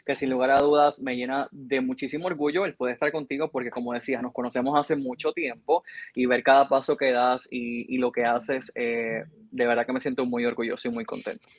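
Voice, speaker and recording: A man in his mid-twenties speaks with a Puerto Rican accent in a dry, close recording. His pitch is medium and he answers as an interview guest, reflective and earnest in a conversational way. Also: little pitch movement, fairly quick, dry recording. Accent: Puerto Rican Spanish. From Puerto Rico. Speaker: interview guest, journalist and TV reporter.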